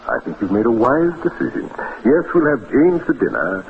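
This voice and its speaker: An actor playing an urbane middle-aged lawyer and bon vivant, theatrical, pompous and wry, in an old radio drama. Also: male voice, mid-range voice, very animated, normal speed. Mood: wry, expansive. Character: urbane, grandiloquent bachelor lawyer, worldly, brandy-loving bon vivant. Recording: tinny, noisy old radio recording.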